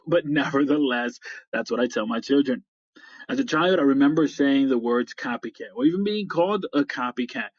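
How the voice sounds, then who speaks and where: A middle-aged American man hosting a motivational podcast, speaking in deliberate, emphatic phrases with repetition. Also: mid-range voice, breathy edge, no room sound. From upstate New York, United States. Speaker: podcast host. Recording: slightly dull, dry lo-fi audio.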